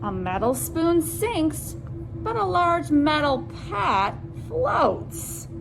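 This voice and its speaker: A woman presenting a children's science storytime, reading aloud deliberately and speaking to young listeners as friends with simple questions. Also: high-pitched, big pitch swings, creaky, music in the background, rough recording.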